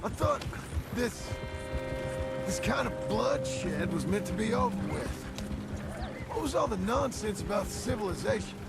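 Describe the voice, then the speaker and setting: An actor playing a gruff, blunt, hot-tempered American rancher in a western video game, with a gravelly voice. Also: male voice, high-pitched, lively intonation, measured pace, long pauses, loud music behind the voice, heavily acted. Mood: troubled. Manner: blunt, exasperated. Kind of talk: video game dramatic acting.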